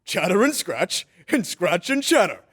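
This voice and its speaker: A man performs a jolly old Father Christmas for an old-time radio drama. The voice sits high for a man and is husky, with lively rises and falls. The phrasing is kindly, old-fashioned and playful. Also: unhurried, exaggerated voice. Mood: gleeful. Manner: chuckling, coaxing, old-fashioned grandfatherly phrasing.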